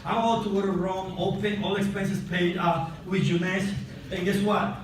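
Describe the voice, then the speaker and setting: A man, in Spanish, delivers an excited motivational testimonial in a high voice, slightly out of breath. He keeps addressing the crowd from stage, and the sound is distant and very echoey. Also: moderate pace. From Cuba. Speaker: testimonial speaker at a sales convention. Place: large event hall on stage. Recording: echoey hall, distant mic, noisy.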